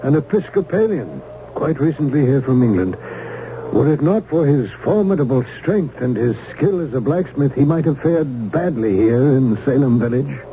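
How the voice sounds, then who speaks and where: An actor playing a cautious colonial judge with a troubled conscience in an old-time radio drama, using formal, archaic speech. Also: male voice, mid-range voice, animated, normal speed, dark tone, a little hiss or hum, lightly acted. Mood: wary. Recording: thin, phone-like old radio broadcast.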